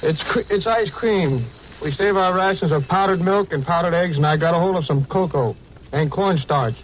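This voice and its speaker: An American man speaks as a serviceman on wartime radio, with plain prepared remarks. His pitch is a bit high. A faint hiss runs through the old 1940s recording. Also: moderate pace.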